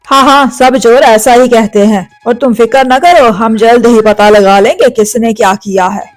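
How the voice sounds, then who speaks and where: A woman's voice: on the high side, steady tone, moderate pace, pitched below the performer's own voice, natural voice. A woman voicing a stern, authoritative police officer bird in a cartoon story, questioning in a firm manner. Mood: dismissive. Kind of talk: Hindi cartoon story dialogue.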